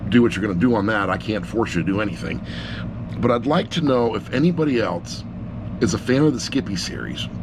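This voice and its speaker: Middle-aged man rambling over clear background noise. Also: medium pitch. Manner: rambling, blunt, self-deprecating. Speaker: amateur audiobook reviewer. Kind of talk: casual unscripted review vlog. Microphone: action camera sitting on a laptop.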